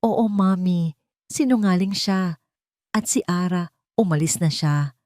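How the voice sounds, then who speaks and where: A woman's voice: lowish voice, unhurried, darkish tone, no room sound, clean studio sound, lightly acted. A woman with a Filipino accent voicing a bitter, heartbroken rich young man melodramatically in an audio story. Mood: despairing.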